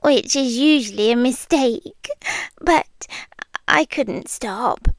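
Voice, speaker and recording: A British woman playing a shy, smitten under-the-bed creature in an intimate ASMR roleplay, soft-spoken and often whispery, awkward and deadpan. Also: sits high, slow pace, no room sound. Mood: shy, flustered. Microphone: close dry microphone.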